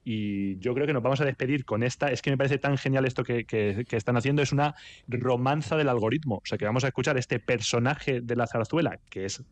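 A man's voice: mid-range voice, brisk. Man talking with hesitant, filler-heavy phrasing. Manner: hesitant, many "eh" fillers, joking. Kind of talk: casual radio interview.

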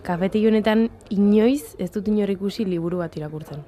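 A woman's voice: mid-range voice, fairly quick, warm tone, lightly acted. A woman playing a curious, teasing university student in a radio serial.

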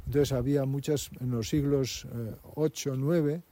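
A man, working as a local guide and explaining history and landscape in a halting way, with many fillers and restarts. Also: medium pitch, steady tone, mellow, dry recording.

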